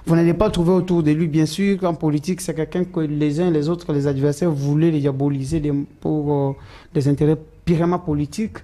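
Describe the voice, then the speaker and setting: A man's voice: fairly high pitch, steady tone, a little fast, mellow. A man answering interview questions, hesitant and full of "euh" fillers and restarts. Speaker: political supporter interviewed as guest.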